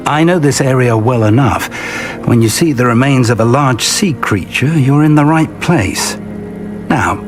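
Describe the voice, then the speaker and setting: An actor playing an earnest middle-aged wizard professor and mentor in a video game, speaking in a deliberate, articulate, scholarly way. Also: male voice, mid-range voice, lightly acted. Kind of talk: dramatic video game voice acting. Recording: music playing behind the voice. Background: game music.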